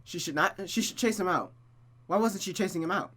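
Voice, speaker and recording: A streamer with a mid-pitched voice reads a visual novel aloud, narrating expressively and adding casual asides. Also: brisk, fairly bright tone, breathy edge.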